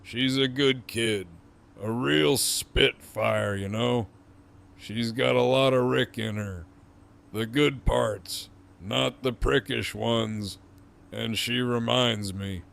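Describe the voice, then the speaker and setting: A man acting a gruff, sardonic, wounded captive in an audio drama, with a gravelly voice and a wry drawl. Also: mid-range voice, a bit bright, full character voice. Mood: subdued, sincere. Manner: slow, wry, teasing drawl.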